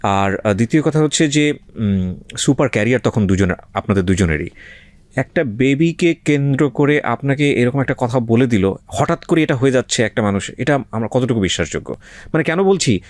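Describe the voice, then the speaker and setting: A man's voice: mid-range voice, animated, a little fast, no room sound. A man with a Bangladeshi accent hosting a radio interview, asking probing questions conversationally and switching in and out of English. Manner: switches between Bengali and English.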